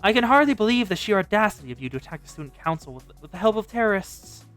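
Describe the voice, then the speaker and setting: A woman's voice: medium pitch, very animated, quick pace, a bit bright, soft background music. Woman acting a stern student council girl. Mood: scolding. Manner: reproachful, formal.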